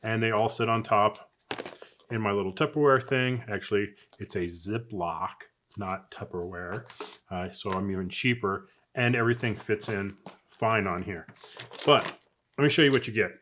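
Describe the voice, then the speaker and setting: A man's voice: lowish voice, moderate pace, fairly bright tone, slight background noise. A man giving a casual, unscripted product review in a chatty, conversational way, as if thinking aloud. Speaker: product reviewer, channel host.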